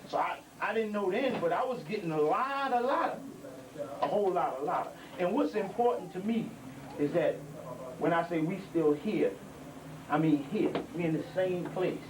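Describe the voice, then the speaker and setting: A man's voice: high voice, expressive, moderate pace. A middle-aged man addressing young members at a gathering, preaching with repeated emphasis and street vernacular. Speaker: elder speaker at a community gathering. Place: indoor building. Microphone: handheld microphone. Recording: distant mic with background noise.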